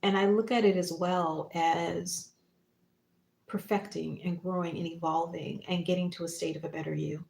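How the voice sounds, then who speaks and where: A woman hosting a spiritual livestream, talking casually and reflectively in short phrases and gentle prompts with pauses. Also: on the low side, fairly even intonation, gravelly. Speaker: psychic medium and spiritual teacher hosting a livestream. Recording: roomy, echoing room sound.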